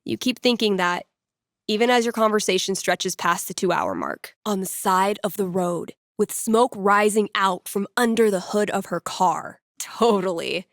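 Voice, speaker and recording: A woman's voice: mid-range voice, expressive, normal speed, no echo, studio quality, natural voice. A woman playing a witty young small-town radio DJ in a video game, with an American accent and a playful, conversational delivery. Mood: storytelling, earnest. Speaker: radio host. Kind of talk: casual local radio announcements, video game voice acting.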